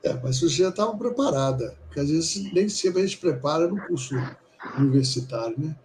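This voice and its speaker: A man's voice: a little high, lively intonation, brisk, a little mellow, slight background noise. A man hosting a casual interview, prompting his guest with short friendly asides. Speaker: interview host.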